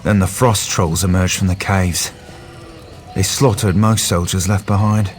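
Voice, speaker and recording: A male actor playing a solemn, peaceable pilgrim in a fantasy game, speaking formally and gravely. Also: low-pitched, steady tone, a little slow, faint music behind. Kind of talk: video game quest dialogue.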